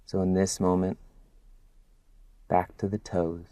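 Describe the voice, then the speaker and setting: A man guiding a body scan meditation as a mindfulness instructor, speaking gently and soothingly with long pauses. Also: deep voice, completely flat delivery, dry recording.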